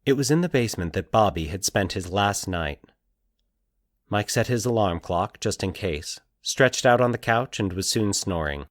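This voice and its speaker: Low-pitched male storyteller, animated intonation. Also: no echo, clean studio sound. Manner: measured, deliberate, formal storytelling. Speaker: narrator. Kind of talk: scripted narration of eerie fiction.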